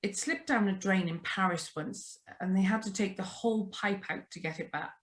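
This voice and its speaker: A woman's voice: mid-range voice, normal speed, close to an everyday voice. A woman playing a chatty, nervous young newlywed in a radio drama read over a video call. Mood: light, chatty. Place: remote, on a Zoom video call. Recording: live video call reading.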